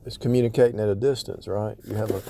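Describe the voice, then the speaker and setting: A man's voice: on the low side, steady tone, fast talker, gravelly, warm tone, a little hiss or hum. A man giving casual, off-the-cuff spiritual teaching in a rambling way, repeating and rephrasing himself for emphasis. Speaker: religious vlogger.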